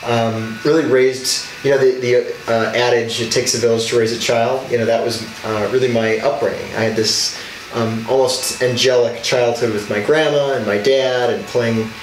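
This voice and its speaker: A man's voice: medium pitch, fairly even intonation, moderate pace, reverberant, recorded from a distance, slight background noise, rough recording. A young man, an alderman from Wisconsin, telling his life story earnestly in an interview. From Racine, Wisconsin. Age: young adult. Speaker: alderman guest.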